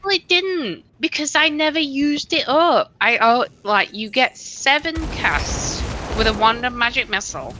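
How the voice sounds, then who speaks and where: A woman's voice: high-pitched, expressive, a little slow, few pauses, noisy background. A woman streamer chatting casually while gaming, rambling with many fillers and restarts into a desk microphone.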